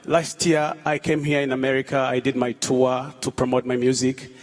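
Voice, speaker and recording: A Rwandan man in his thirties, a musician speaking on stage at a public event, halting, with fillers and repeated words. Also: medium pitch, flat delivery. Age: 30s. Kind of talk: onstage talk at a public event. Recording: long hall reverb.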